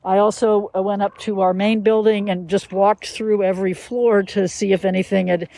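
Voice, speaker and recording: A woman's voice: mid-range voice, level tone, moderate pace, gravelly, dark tone, no room sound. A woman who works at a garden, talking conversationally in an interview outdoors. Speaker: botanic garden staff interviewee. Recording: faint outdoor ambient noise.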